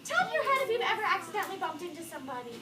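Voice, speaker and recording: A woman teacher reading a picture book aloud to young children, expressive, pausing to explain words and ask questions. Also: very high-pitched. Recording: echoey noisy room from far off.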